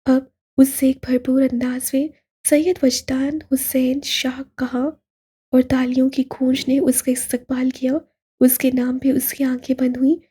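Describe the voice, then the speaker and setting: A woman narrating a romantic novel as a scripted audio story, in a soft and very breathy voice. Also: high voice.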